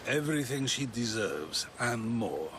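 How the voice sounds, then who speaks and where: A man's voice: medium pitch, sing-song, a little slow, a bit bright, slight background noise. An actor playing a proud, loyal Athenian man who speaks steadily and resolutely.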